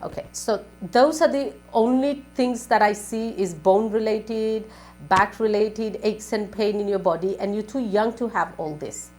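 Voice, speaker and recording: A woman's voice: mid-range voice, measured pace, a little mellow, faint music behind. A woman working as a spiritual advisor on a live call-in show, giving advice in a repetitive, questioning way.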